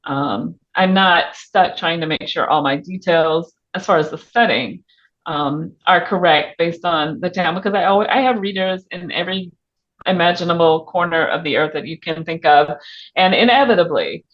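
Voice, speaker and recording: Muffled, lower-pitched woman in casual interview. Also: normal speed. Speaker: author guest on a book podcast. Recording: muffled, band-limited audio.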